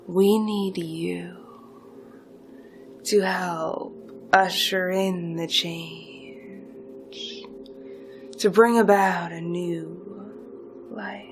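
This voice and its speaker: A woman playing a conspiratorial, seductive supervillain recruiter in a theatrical roleplay, speaking with dramatic pauses and hushed, breathy passages. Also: fairly low pitch. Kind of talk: slow theatrical roleplay monologue, partly whispered. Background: music.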